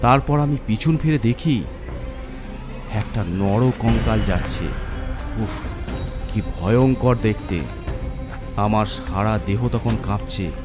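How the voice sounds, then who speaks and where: A man's voice: on the low side, slow, pauses often. A man narrating a first-person horror story in a theatrical, suspenseful way. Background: music.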